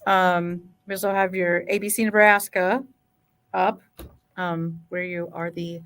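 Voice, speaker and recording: A woman's voice: lowish voice, level tone, unhurried, fairly bright tone. Informal, encouraging woman hosting a chat. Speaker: podcast host. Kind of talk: casual interview chat.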